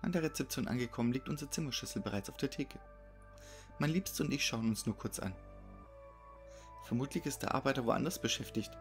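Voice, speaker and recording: A man's voice: medium pitch, expressive, brisk, long pauses. A man giving a scripted, dramatic narration of a horror story. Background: music behind the voice.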